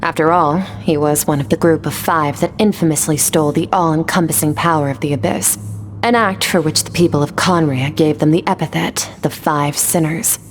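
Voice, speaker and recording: Woman as a low-voiced, aloof warrior mentor. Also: fairly even intonation, long reverb tail, slight background noise, lightly acted. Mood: calm, instructive. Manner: measured, matter-of-fact, detached. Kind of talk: video game voice acting.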